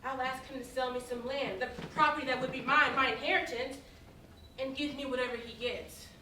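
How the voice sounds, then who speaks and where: A woman's voice: sits high, fairly even intonation, fairly quick, bright, forceful tone. A woman playing a brash, flippant, hard-living prodigal son in a theatrical staged reading. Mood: fed up. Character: wayward, hard-drinking prodigal son, brash and pleasure-seeking. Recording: echoey live stage, distant mic, faint noise.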